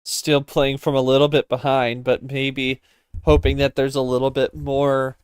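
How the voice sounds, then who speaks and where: An excitable commentator calling a card game live like a sporting event, prone to exclamations. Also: medium pitch, a little slow, smooth, dry recording. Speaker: play-by-play commentator.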